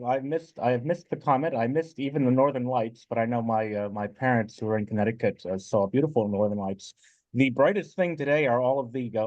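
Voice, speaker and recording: A man chatting casually on a video call, halting and repeating words, with dry humor. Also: medium pitch, a little mellow, no room sound. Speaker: meeting participant. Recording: dull lo-fi video call audio.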